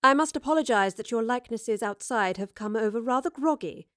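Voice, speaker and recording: A woman's voice: fairly high pitch, expressive, fairly quick, a bit bright, no echo, studio quality. A woman voicing a proper, skeptical woman in an audio drama, clipped and scornful. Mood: dry. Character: haughty, sharp-tongued woman, proper and skeptical.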